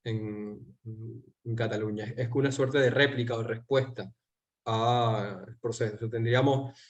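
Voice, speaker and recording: A Venezuelan man hosting a political interview, talking in a measured way and pausing to search for words. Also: mid-range voice, fairly even intonation. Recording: dull lo-fi sound.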